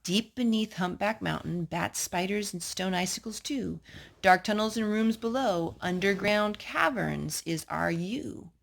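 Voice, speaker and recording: A woman's voice: mid-range voice, unhurried, vocal fry, a little hiss or hum. A woman hosting a children's storytime, reading picture books aloud and chatting with a young child through simple questions and asides. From North Carolina.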